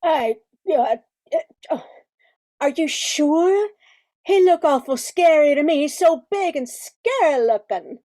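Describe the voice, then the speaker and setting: Woman playing a high-pitched, timid Cajun girl. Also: expressive, slow, warm tone. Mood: nervous. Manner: hesitant, stammering. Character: timid, polite young Cajun granddaughter, easily scared. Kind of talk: amateur radio drama acting.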